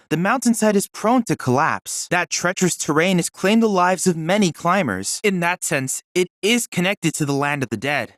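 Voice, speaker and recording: An actor playing a polite, studious boyish android research assistant in a video game, speaking in a formal, precise, inquisitive manner. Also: male voice, a little high, dry recording, clean studio sound. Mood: grave, pondering. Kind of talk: scripted video game character dialogue.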